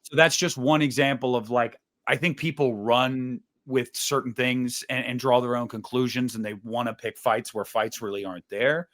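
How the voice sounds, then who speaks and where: A man's voice: mid-range voice, steady tone, normal speed, few pauses, a bit bright. A man talking casually and giving his opinions as a panelist in a podcast discussion.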